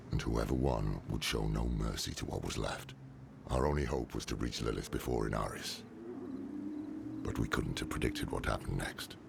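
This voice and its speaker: An actor playing a gruff, world-weary old demon-hunting scholar, grave and terse, in a dark fantasy video game. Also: male voice, noisy background, exaggerated voice. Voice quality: very deep, gravelly.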